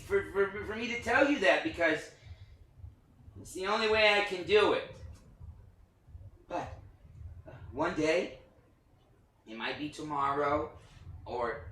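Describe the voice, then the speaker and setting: A man voicing a cuddly, childlike puppet character in a play reading, tender and earnest with a halting, stammering delivery. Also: a little high, bright, forceful tone, heavily acted. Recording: roomy, distant microphone.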